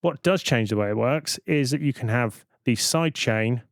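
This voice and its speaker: A man giving an informal, step-by-step software demonstration as a tutorial presenter. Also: mid-range voice, moderate pace, dry recording, clean studio sound.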